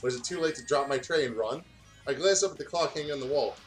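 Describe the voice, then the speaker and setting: A man's voice: medium pitch, fast talker, soft background music. A man reads fan fiction aloud in a casual way, stumbling over words and breaking off for joking, sweary banter. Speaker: guest reader on a gaming video.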